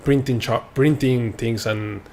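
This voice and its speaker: A man's voice: mid-range voice, fairly even intonation, measured pace, darkish tone, slight background noise. A man hosting a podcast, asking questions and giving short encouraging replies. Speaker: podcast host. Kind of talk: casual interview.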